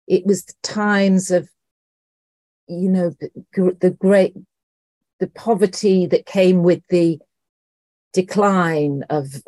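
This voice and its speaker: A middle-aged woman from Liverpool, answering interview questions thoughtfully and haltingly as she searches for words. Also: on the low side, dark tone, no echo. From Liverpool, England. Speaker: interview guest, writer and actress.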